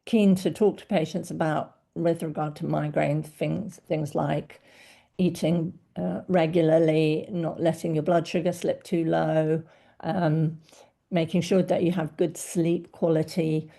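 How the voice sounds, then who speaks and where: Mellow, gravelly woman host. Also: low voice, little pitch movement, a little slow. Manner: warm, attentive questioning with frequent backchannels. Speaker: doctor and podcast host. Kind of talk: podcast interview.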